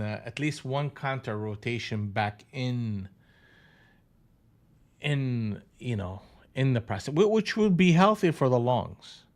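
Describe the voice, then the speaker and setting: A man hosting a live trading room, talking through chart moves in a casual way, often restarting and repeating phrases, with some mild swearing. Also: mid-range voice.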